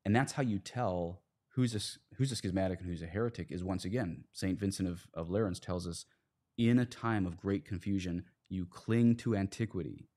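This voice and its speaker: A man's voice: deep voice, moderate pace, a little mellow. A man hosting a conversational podcast, making his case emphatically with rhetorical questions and acted-out quotes. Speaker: podcast host. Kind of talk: conversational podcast discussion, argumentative.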